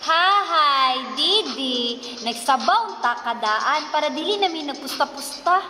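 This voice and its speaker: Woman playing high-voiced pushy student, echoey room. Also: animated, a little slow, over music. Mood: excited, insistent. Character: young female student, pushy excitable supporter of a mayor. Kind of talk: amateur student radio drama in Cebuano. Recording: echoey room with clear background noise.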